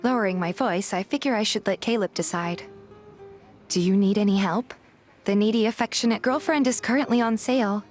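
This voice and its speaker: A woman narrates a scripted romantic story in the first person, reading both description and dialogue. Her pitch sits in an average female range, and music plays behind her. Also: a little fast, darkish tone. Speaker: narrator and voice actor of a fan dub.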